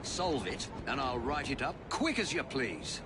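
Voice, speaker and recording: An actor playing an eager, flourishing Victorian gentleman writer in a video game. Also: medium pitch, big pitch swings, unhurried. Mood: eager, brisk. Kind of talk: video game cutscene acting. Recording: loud street noise behind.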